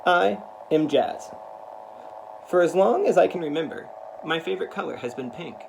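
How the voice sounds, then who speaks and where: A man speaking to children in an earnest, careful, deliberate way, as in a read-aloud. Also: fairly high pitch, expressive, vocal fry, background noise. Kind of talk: read-aloud of a children's picture book with spoken introduction.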